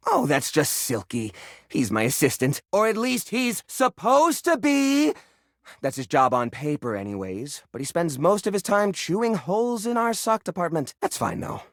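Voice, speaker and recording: Excitable high-pitched eccentric junk collector. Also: male voice, normal speed, no echo, clean studio sound, exaggerated voice. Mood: proud, whimsical. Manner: theatrical, rambling showman flourishes. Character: excitable, eccentric male junk drawer who hoards treasures. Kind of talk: video game character acting.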